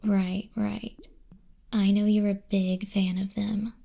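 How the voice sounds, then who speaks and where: A woman playing a smug demon clerk in an ASMR roleplay, soft-spoken and often whispering, with a breathy, husky, condescending and teasing delivery. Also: medium pitch, little pitch movement, slow. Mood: smug, scolding. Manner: condescending, teasing, dryly bureaucratic. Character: smug female demon clerk registering sinners in hell.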